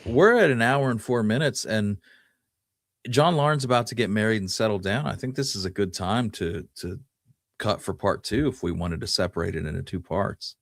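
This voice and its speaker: A man co-hosting a casual history discussion, thinking aloud in a halting way as he goes. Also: fairly low pitch, dry recording, clean studio sound. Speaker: podcast co-host.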